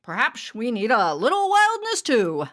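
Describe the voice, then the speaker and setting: Woman putting on a high-pitched stern lady judge. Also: wildly swooping pitch, measured pace, fairly bright tone, full character voice. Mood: humbled. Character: stern female judge, loud and commanding, later softening. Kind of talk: children's storybook character voice.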